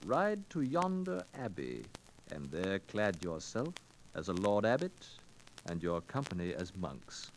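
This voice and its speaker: An actor playing a shrewd, deferential old-English innkeeper with folksy archaic speech in a 1940s radio drama. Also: male voice, low voice, sing-song, measured pace. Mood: sly, deferential. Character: shrewd, deferential male tavern landlord in old England. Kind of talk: 1940s radio adventure acting. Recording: noisy 1940s radio recording with clicks.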